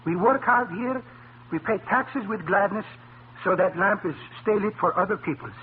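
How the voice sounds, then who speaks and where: An actor playing a humble, cheerful immigrant restaurant owner in old radio comedy, with foreign-accented broken English and a heartfelt manner. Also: male voice, high-pitched, normal speed, close to an everyday voice. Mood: earnest, heartfelt. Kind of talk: 1940s-1950s radio comedy acting. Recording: tinny, noisy vintage radio recording.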